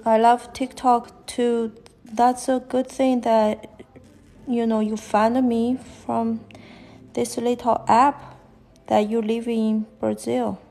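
Slow, gravelly-voiced woman with a Chinese accent. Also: a little high, little pitch movement, mellow, soft background music. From China. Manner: halting, thinking aloud while drawing. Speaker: fashion illustrator. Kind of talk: live-stream drawing commentary. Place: at a desk, drawing on paper.

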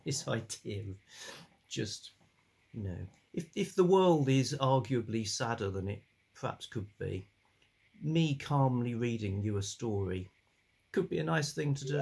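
An older man hosting a relaxed, rambling chat about old books from his home study, with a calm delivery and many fillers. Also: mid-range voice, vocal fry, slightly rough. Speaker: book channel host.

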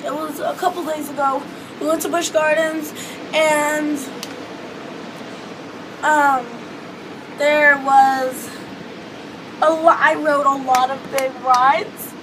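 A hoarse teenage girl rambles through a casual vlog, her voice high and strained from losing it. She talks close to a phone on a seaside balcony while ocean waves and wind wash clearly behind her. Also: takes it slowly, long pauses. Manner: chatty, rambling with many fillers. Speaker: vlogger. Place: outdoor hotel balcony above a beach. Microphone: phone or webcam close in front. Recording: wind and wave noise outdoors. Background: ocean waves and beach noise.

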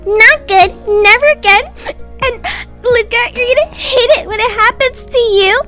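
A woman's voice: very high-pitched, normal speed, bright, forceful tone, faint music behind, pitched above the performer's own voice. A woman voicing a grumpy, tearful toddler girl with a whiny, dramatic delivery for a roleplay story. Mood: sulky crying.

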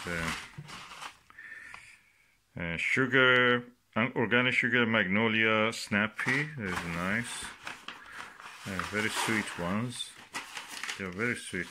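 A man giving casual, unscripted commentary as he shows items, speaking haltingly in short phrases with pauses between them. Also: lowish voice, pushed and bright. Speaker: gardening vlogger. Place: indoors at a work table. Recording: noisy home recording with clicks and rustling.

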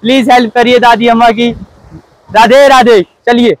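High-voiced young man vlogging. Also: fairly even intonation, normal speed, loud music behind the voice, low-quality recording. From Himachal Pradesh, India. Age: 20s. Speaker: travel vlogger. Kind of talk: casual vlog chat to camera. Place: outdoors on a forested mountain trail.

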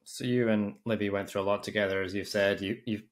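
A man in his thirties asking a question casually, relaxed and joking, on a home call. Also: lowish voice, quick pace. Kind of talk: casual conversational.

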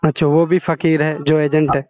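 A man's voice: on the high side, little pitch movement, moderate pace. A man working as a journalist on a phone call, questioning and advising the caller in a matter-of-fact way. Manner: matter-of-fact, brief acknowledgements. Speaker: local journalist taking a call. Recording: narrow-band, dry phone call recording.

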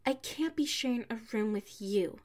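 A woman voicing a prickly, haughty young tsundere classmate with a hidden soft side, in an ASMR audio roleplay. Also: on the high side, lively intonation, natural voice. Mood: indignant, huffy. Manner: haughty, huffy, sighing, drawn-out phrasing.

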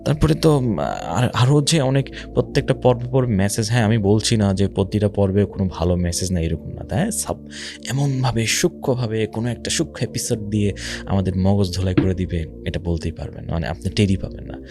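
A man's voice: on the low side, a little fast. Emphatic Bangladeshi man over music. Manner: emphatic, questioning the listener with rhetorical questions; mixes in English words. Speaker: Islamic speaker. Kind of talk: religious social commentary talk. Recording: music behind the voice.

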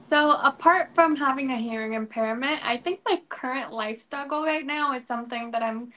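A woman's voice: sits high, normal speed, bright, forceful tone. A woman, a pageant candidate giving earnest, polished interview answers over a video call. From United States. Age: 20s. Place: indoor room.

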